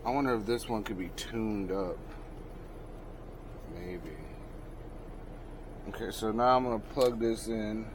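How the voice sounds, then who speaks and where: Male vlogger, low-pitched, sweary and slangy, casual self-talk during an install, noisy truck cab. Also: slow, pauses often. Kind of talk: casual hands-on install vlog, talking to self.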